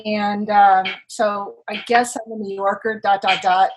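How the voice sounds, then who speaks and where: A woman's voice: medium pitch, unhurried, poor audio. A woman in her sixties from Los Angeles, talking chattily as a guest over a video call. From Los Angeles, California. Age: 60s. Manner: chatty, animated, self-deprecating. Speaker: podcast guest, writer and poker player.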